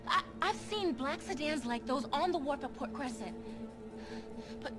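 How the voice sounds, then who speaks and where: An actress playing an anxious, pleading young woman in a video game cutscene, with loud music behind. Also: high-pitched, animated, measured pace. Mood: frightened. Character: anxious young woman afraid for missing friends.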